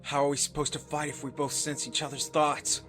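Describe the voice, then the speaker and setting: A man playing a solemn, protective young demigod hero in an anime visual novel, in an earnest, deliberate way. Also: fairly high pitch, level tone, fairly bright tone, natural voice. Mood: brooding. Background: background music.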